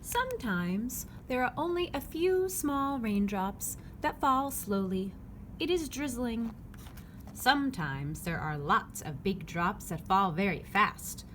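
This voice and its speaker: A woman reading a children's picture book aloud, phrasing it clearly and simply for young listeners. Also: fairly high pitch, lively intonation, slow pace, fairly bright tone. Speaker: read-aloud narrator. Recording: clearly noisy background ambience.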